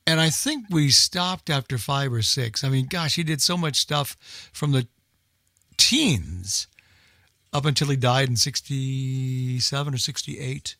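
A man's voice: medium pitch, pushed and bright, no echo. Informal male radio host, dry close sound. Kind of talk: casual unscripted programme announcements.

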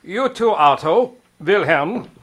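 A man playing a haughty, urbane German general with a German accent and a husky, pompous delivery in an old radio drama. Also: high voice, lively intonation, a bit bright, heavily acted. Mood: smooth menace. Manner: pompous, theatrical, deliberate. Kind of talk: 1950s radio drama acting.

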